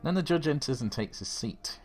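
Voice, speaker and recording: A man's voice: medium pitch, expressive, a little fast, a little mellow. A man narrating a tabletop horror game as game master, in descriptive storytelling. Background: background music.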